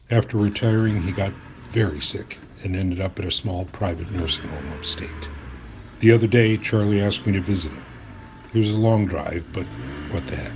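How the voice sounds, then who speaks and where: A man plays a journalist telling a story in an audio drama. The voice is very deep and conversational, earnest and curious with a touch of skepticism. There is noticeable background noise. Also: natural voice. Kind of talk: audio drama acting with first-person narration.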